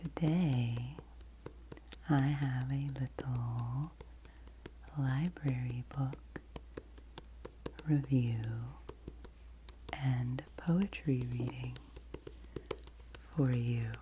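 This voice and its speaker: A woman in her forties, whispering gently and unhurriedly as she hosts a soft-spoken ASMR book review. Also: extremely low pitch, extremely slow, pauses often, noisy background. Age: 40s. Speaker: ASMR host.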